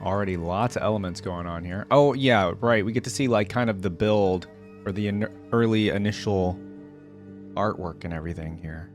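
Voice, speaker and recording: A man's voice: lowish voice, normal speed. A man giving casual, exclamatory reaction commentary as an informal video host, with game theme music behind him.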